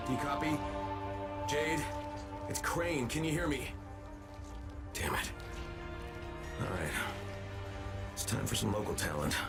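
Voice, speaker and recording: An actor playing an earnest, determined undercover operative in a zombie-city video game. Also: male voice, medium pitch, big pitch swings, slow, vocal fry, long pauses, loud music behind the voice, exaggerated voice. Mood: urgent radio call. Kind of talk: video game cutscene acting.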